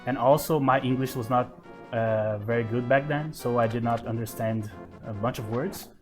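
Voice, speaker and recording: A man's voice: medium pitch, normal speed. Brazilian guy in his 30s doing laid back lets play commentary, lots of uh's, music faint in the back. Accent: Brazilian Portuguese. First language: Portuguese. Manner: relaxed, thinking aloud with many fillers. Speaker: gaming channel host. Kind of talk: casual let's play commentary.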